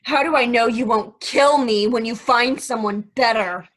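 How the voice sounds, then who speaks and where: A woman's voice: a little high, moderate pace, a bit bright, low-quality recording. A woman reading the part of an emotional, hesitant, guilt-ridden young woman in a stage play. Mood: hurt, accusing.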